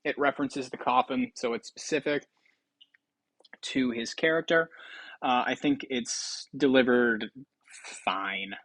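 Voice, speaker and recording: A man's voice: mid-range voice, unhurried, pauses often, fairly bright tone, no room sound, rough recording. A man hosting a game show with casual, improvised commentary in a rambling, offhand way.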